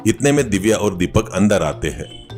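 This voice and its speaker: A man's voice: lowish voice, lively intonation, moderate pace, darkish tone, faint music behind. A man narrating a scripted comic moral story as a storyteller.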